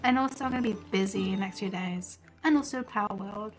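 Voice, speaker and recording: A woman's voice: medium pitch, brisk, soft background music, rough recording. A woman game streamer giving casual, chatty live commentary, rambling along with her train of thought.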